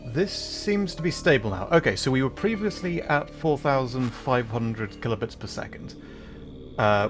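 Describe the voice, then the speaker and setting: Rambling male streamer over music. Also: medium pitch. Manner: rambling, self-deprecating, apologetic. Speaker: VTuber streamer. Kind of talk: casual livestream chat. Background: background music.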